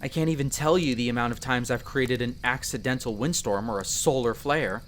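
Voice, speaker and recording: A man's voice: mid-range voice, brisk, a little hiss or hum, lightly acted. A man playing a friendly young elf explorer in a roleplay audio drama, talking conversationally and earnestly to the listener in a self-deprecating way. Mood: candid, self-deprecating. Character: friendly young male elf explorer, modest half-outsider mage.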